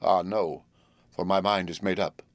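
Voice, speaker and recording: Un hombre haciendo de una joven valiente y triste. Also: male voice, sits low, fairly even intonation, measured pace, no echo. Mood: quiet resolve. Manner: quiet, earnest and resolute. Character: young woman, brave and sorrowful.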